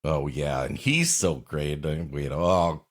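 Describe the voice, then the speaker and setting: A man's voice: a bass voice, takes it slowly. A man co-hosting a comedy podcast, riffing in casual, wry commentary. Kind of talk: casual comic commentary and banter.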